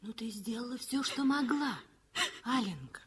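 A kind woman colleague with a very raspy, fairly high voice that swoops lively up and down. She sounds reassuring in an old radio play. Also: normal speed. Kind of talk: 1960s radio drama acting.

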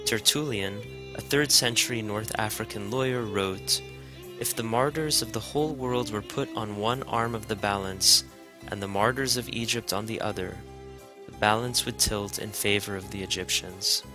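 A man reading a scripted documentary narration in a measured, even way. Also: lowish voice. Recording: music bed underneath.

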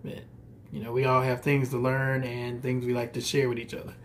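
A man's voice: medium pitch, fairly quick, a little hiss or hum. Easygoing man vlogging to camera. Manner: relaxed, easygoing talk to viewers. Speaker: fishing vlogger. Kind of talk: casual vlog talk. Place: indoors, small room by a wall.

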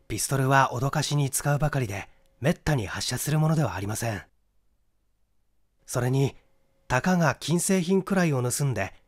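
A young amateur detective from a mystery audiobook, performed by a man, clever and sure of himself. He explains methodically in a mid-pitched, very animated voice. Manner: explanatory, methodical, rhetorical questioning. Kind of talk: Japanese mystery audiobook acting.

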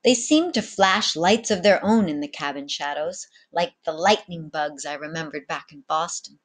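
A woman's voice: low voice, moderate pace. A middle-aged woman reading a children's novel aloud expressively, like a teacher. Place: small bedroom at home.

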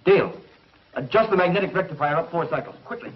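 An actor playing a grave, commanding scientist in a 1950s television serial. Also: male voice, on the high side, expressive, quick pace, close to an everyday voice. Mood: urgent. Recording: muffled 1950s TV soundtrack, faint noise.

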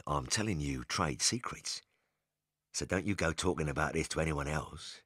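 A man's voice: extremely low pitch, moderate pace, a bit bright, slightly rough, dry recording, clean studio sound, full character voice. An actor playing a crooked, boastful, bullying middle-aged father with a colloquial English accent in a dramatised audiobook. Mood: smug boasting. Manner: blustering, scornful, colloquial.

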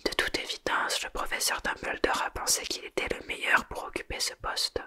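Earnest smart teen witch girl whispered by a reader, bright hush. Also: normal speed. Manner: slightly higher than usual, anxious.

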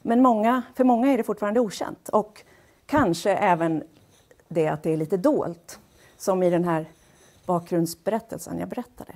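A woman giving an explanatory public lecture in a measured, pausing and somewhat hesitant way. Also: fairly low pitch, dark tone. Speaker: lecturer, speech-language pathologist. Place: wood-panelled lecture hall, indoors. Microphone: headset.

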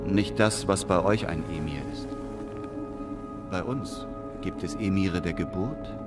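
An actor playing an earnest, pious lone traveller and narrator in a scripted radio drama, speaking in a literary manner. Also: male voice, sits low, measured pace, loud music behind the voice. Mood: quiet, humble. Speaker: narrator and lead character.

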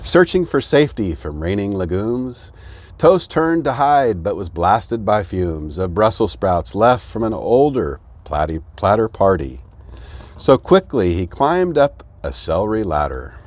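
A middle-aged American man reading a children's rhyming storybook aloud in an expressive storytelling manner. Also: on the low side, unhurried. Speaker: fire chief, guest reader. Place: outdoors beside an open fire truck.

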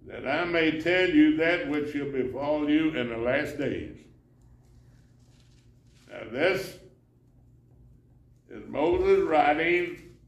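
An older man preaching a sermon while seated in a church sanctuary, reading scripture and gesturing with his hands. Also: on the high side. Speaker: pastor. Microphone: far camera. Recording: roomy church echo, from far off.

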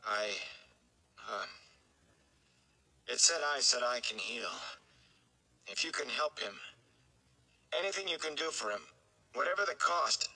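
Guy doing a worried young shepherd from a fantasy book, pleading and urgent, pitched up, edgy sharp tone. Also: animated, measured pace, vocal fry, pauses often, heavily acted, poor audio. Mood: desperate pleading. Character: anxious, pleading young farm man.

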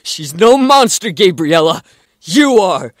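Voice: mid-range voice, very animated, takes it slowly, dry recording, clean studio sound. An actor playing an earnest, kind and protective young man in an audio drama, speaking with emphasis. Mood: defiant.

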